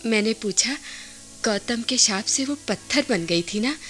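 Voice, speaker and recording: An actress in a Hindi radio play playing a tender, devoted village wife, speaking with expressive, emotional delivery. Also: female voice, fairly high pitch, measured pace, faint music behind. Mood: earnest, moved.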